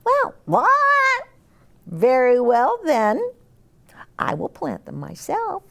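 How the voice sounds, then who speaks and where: A busy storybook mother hen, acted out by a woman, pitched very high with huge swoops. She clucks, pleads and scolds in exaggerated exclamations, bossy and quick to take offence. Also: wildly swooping pitch, gravelly, sharp tone, exaggerated voice. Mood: eager, then resolute.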